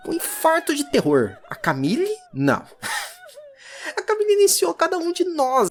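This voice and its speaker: A performer with a Brazilian accent voicing a distraught, defiant, hunted woman occultist in a halting, emotionally charged audio drama monologue. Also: medium pitch, voice pushed up, close to an everyday voice. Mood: panicked, disbelieving. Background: music.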